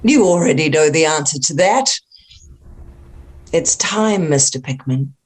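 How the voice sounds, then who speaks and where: A woman's voice: sits low, expressive, unhurried, darkish tone. A woman playing an ancient, inhuman cult acolyte in a horror script read, speaking with grandiose, taunting deliberation. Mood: coaxing, ominous. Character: sinister ancient female thing, cultic acolyte of cosmic gods, grandiose, taunting. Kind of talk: dramatic horror table read.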